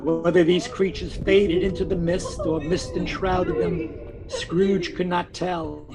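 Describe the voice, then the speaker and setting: A man's voice: high voice, lively intonation, slow pace, over music. A man narrating an audio drama in formal, literary, dramatic storytelling phrases.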